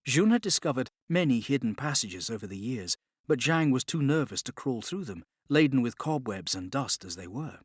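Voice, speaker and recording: A man's voice: mid-range voice, lively intonation, moderate pace, breathy edge, no room sound, clean studio sound. A man reading scripted dramatic narration as an audiobook narrator.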